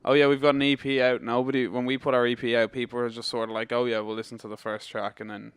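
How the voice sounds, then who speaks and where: A man's voice: medium pitch, level tone, fast, smooth, no echo. A young Irish man chatting casually in an interview as a band member. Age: young adult.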